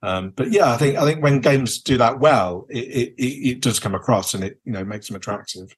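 A man in relaxed, unscripted podcast conversation as a host, often qualifying and circling back over his phrases. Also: mid-range voice, a little fast, husky. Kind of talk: casual unscripted chat about board games.

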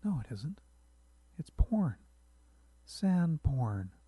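Man acting out a scolding wife, pitched well up, mellow. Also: very animated, extremely slow, husky, no room sound, exaggerated voice. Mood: indignant. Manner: exclaiming, incredulous, sometimes hissing whispers. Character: scolding, easily outraged wife bickering with her husband.

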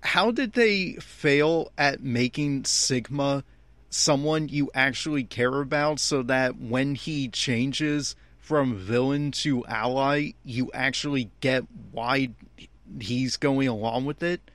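A man giving opinionated, complaining episode commentary as a host, with emphatic, halting phrasing. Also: medium pitch, fairly bright tone, dry recording. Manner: exasperated, complaining. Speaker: fan podcast host.

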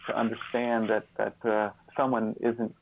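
A man's voice: fairly low pitch, level tone, normal speed, creaky, dull audio, slight background noise. A man giving a reflective interview as a guest, speaking earnestly and often repeating words as he searches for phrasing. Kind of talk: reflective interview talk with personal examples.

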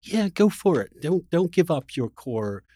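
A man hosts a casual but spirited conversation with a widely swinging, expressive pitch. He moves from halting phrases to emphatic rhetorical questions, recorded dry and without room echo. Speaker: interview host.

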